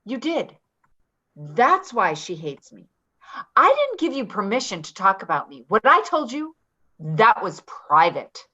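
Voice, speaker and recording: A woman's voice: medium pitch, very animated, measured pace, sharp tone, dull audio, heavily acted. A woman acting a tough, defensive ex-convict in a play reading, blunt and combative in manner. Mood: accusing. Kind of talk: dramatic play reading, naturalistic dialogue.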